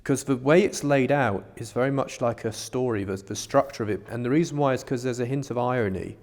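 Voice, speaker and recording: A man's voice: medium pitch, fairly quick, a little mellow. A man co-hosting a podcast, musing aloud in a casual discussion about religion, recorded with long reverb. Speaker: podcast co-host.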